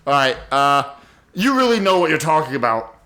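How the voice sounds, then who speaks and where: A man with a somewhat high voice co-hosts an improv comedy podcast. He talks conversationally, trading casual banter and explaining games. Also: sing-song, normal speed, bright, forceful tone. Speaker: podcast co-host.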